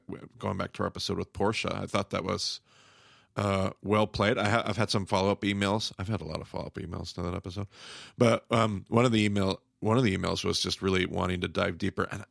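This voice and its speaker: A man's voice: deep voice, creaky, fairly bright tone, dry recording, studio quality. A man co-hosting a podcast in casual chat, often restarting and stumbling over his words. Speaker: podcast co-host.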